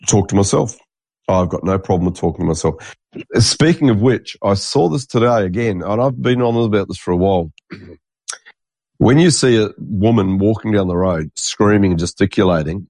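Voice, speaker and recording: A man's voice: low voice, a little mellow, no room sound. A man, congested, rambling through a solo podcast monologue and restarting his sentences. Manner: rambling, swears, trails off and restarts. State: congested, stuffy nose. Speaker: podcast host.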